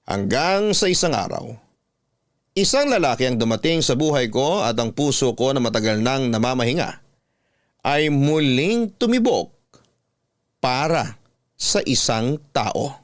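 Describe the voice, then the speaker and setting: A man's voice: medium pitch, lively intonation, a little slow, long pauses, dry recording, clean studio sound. A man reading a first-person love story as scripted narration, in the role of a radio storyteller.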